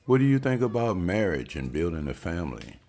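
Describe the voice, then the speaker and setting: A man's voice: low-pitched, sing-song, fairly quick, dark tone, exaggerated voice. A man reading the part of a polite, earnest older suitor courting a young woman at a table read. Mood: tender.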